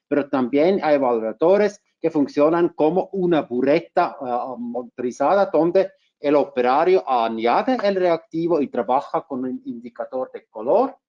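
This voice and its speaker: A man's voice: fairly high pitch, expressive, unhurried, few pauses. Swiss German man, careful technical presenter. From Switzerland. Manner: careful, with frequent restarts and fillers. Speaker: market manager presenting a webinar. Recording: muffled lo-fi webinar audio.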